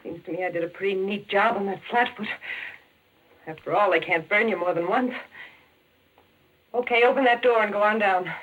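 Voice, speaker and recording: A woman's voice: mid-range voice, moderate pace, pauses often, a bit bright, lightly acted, poor audio. An actress playing a tough, slangy, vengeful gangster woman in a 1940s radio crime drama. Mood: cold, menacing. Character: hard-bitten vengeful gangster woman with a gun. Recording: old 1940s radio recording.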